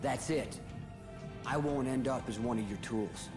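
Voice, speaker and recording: An actor playing a resolute young warrior in a video game, speaking in a terse, understated way. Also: male voice, mid-range voice, unhurried, over music, close to an everyday voice. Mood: cool resolve. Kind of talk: video game cutscene acting.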